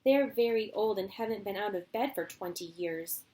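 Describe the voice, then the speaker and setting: A woman voicing an eager young boy in a storybook reading, talking in an excited rush. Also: mid-range voice, normal speed, a little hiss or hum, natural voice. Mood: earnest. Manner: excited and breathless.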